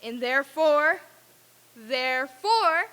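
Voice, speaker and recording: A woman's voice: sits high, animated, slow pace, pushed and bright, heavily acted, rough recording. A woman playing a reformed, overjoyed old miser in a theatrical old-time radio drama reading, with exclamatory delivery. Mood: mock stern.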